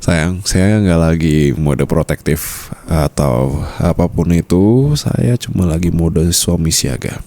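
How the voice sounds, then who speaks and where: Pria memerankan bos mafia bersuara sangat berat dan serak. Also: male voice, dark tone, heavily acted. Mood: teasing, smug. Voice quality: very deep, gravelly. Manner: slow teasing endearments, often slipping into breathy whispers. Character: possessive, protective mafia boss husband, smooth and doting. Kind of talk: ASMR boyfriend roleplay, intimate scripted monologue.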